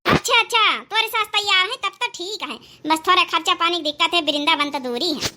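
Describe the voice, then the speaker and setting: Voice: extremely high pitch, a little fast, sharp tone, heavily acted. A performer voicing an elderly, grumbling village aunt in a comic cartoon family drama, fussy and plain-spoken, with an Awadhi accent. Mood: agreeable.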